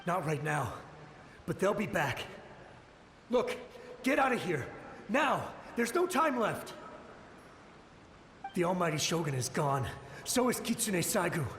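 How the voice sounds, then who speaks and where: A shell-shocked soldier's ghost, desperate and devoted to his ruler, in a fairly high voice. His halting pleas ring out in a roomy space with a long reverb tail and faint music behind. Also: sing-song, fairly bright tone, slight background noise, full character voice. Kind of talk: video game dialogue.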